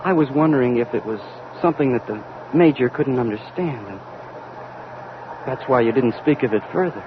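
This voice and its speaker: A man's voice: expressive, moderate pace, lightly acted. Actor as earnest young crewman, noisy old radio. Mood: hesitant, earnest. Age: young adult. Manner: hesitant, respectful, earnest. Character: young, earnest, deferential physicist and rocket radio operator. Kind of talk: vintage American radio drama acting. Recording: muffled vintage radio recording, loud hiss, rumble.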